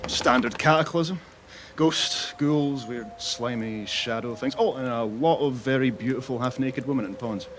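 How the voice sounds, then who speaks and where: An actor playing a flippant, sardonic scout with a deadpan manner in a comic audio drama. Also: male voice, medium pitch, measured pace, a little hiss or hum, natural voice. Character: flippant, sardonic male scout back from danger.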